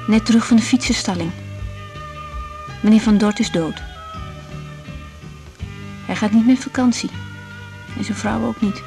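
An actress playing an overwhelmed young night-shift doctor in a radio drama, speaking in an intimate, weary, plain-spoken way. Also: female voice, on the high side, a little slow, vocal fry, long pauses, mellow, faint music behind, low-quality recording. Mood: drained, upset. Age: young adult. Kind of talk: naturalistic radio drama, diary-like monologue and dialogue.

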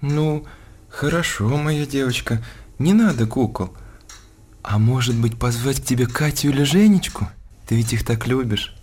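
A man's voice: mid-range voice, normal speed, darkish tone, a little hiss or hum, lightly acted. An actor playing an anxious, loving father in a radio drama, tender and fretful.

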